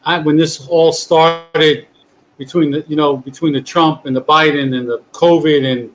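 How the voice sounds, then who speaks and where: A talkative man of sixty hosts a relaxed online chat. His voice sits a little high for a man, and he rambles and restarts as he goes. A faint noise runs under the recording. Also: moderate pace, rough recording. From Long Island, New York. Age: 60s. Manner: chatty, rambling, restarts often. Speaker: podcast host. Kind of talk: casual chat.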